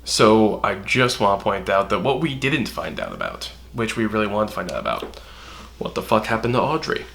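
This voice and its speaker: Gravelly low-voiced man chatting. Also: sing-song, a little fast, fairly bright tone. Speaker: co-host. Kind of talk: casual fan review discussion.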